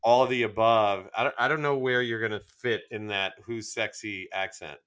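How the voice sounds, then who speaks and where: A male podcast co-host with a Midwestern American accent speaks in casual, joking banter. His voice sits a little low and has a bright, forceful edge. He likes to tease as he talks. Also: fairly even intonation, normal speed, clean tone. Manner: teasing.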